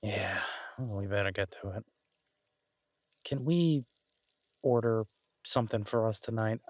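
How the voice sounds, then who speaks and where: A close, dry recording of a man acting as a restaurant chef and devoted boyfriend in an audio roleplay. His voice sits on the low side, and he talks casually and haltingly, stammering into restarts, exasperated yet affectionate. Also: a little mellow, dry recording, lightly acted. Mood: affectionate, tired. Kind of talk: intimate boyfriend roleplay, one-sided casual dialogue.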